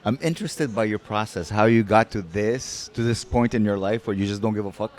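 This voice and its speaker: A man hosting a podcast, introducing his guest with eager, halting speech and many restarts. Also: lowish voice, darkish tone. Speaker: podcast host. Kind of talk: casual interview chat. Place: podcast studio.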